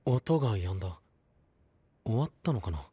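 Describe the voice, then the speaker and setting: Soft-edged, husky, easygoing young man. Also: on the low side, very animated, moderate pace, no echo. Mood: curious. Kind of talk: anime voice drama acting.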